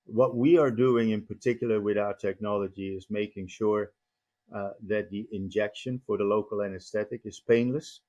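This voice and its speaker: A Dutch-accented man in his fifties, speaking in a fairly low voice. He answers interview questions at a careful, measured pace and fills his pauses with frequent "uh"s. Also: fairly even intonation, a little mellow, highs cut off. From Netherlands. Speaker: company CEO guest. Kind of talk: podcast interview.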